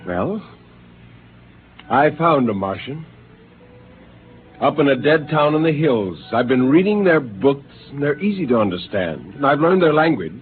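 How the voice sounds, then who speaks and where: A brooding astronaut gone rogue. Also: male voice, mid-range voice, big pitch swings, a little slow, long pauses, faint music behind. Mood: calm. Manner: quiet, intense, poetic. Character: brooding male astronaut turned killer. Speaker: actor in a radio drama. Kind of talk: 1950s radio acting. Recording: tinny 1950s radio broadcast with faint hiss.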